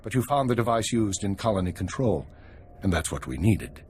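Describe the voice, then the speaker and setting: An actor playing a stern, weary older spymaster in a video game, giving orders in a measured, authoritative way. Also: male voice, on the low side, big pitch swings, darkish tone, slight background noise. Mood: brisk, businesslike. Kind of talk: video game cutscene acting.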